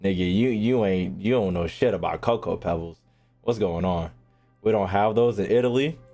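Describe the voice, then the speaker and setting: A man's voice: low-pitched, moderate pace, warm tone. A young American man giving casual, slangy, joking live game commentary as a streamer. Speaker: gaming streamer. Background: faint game music.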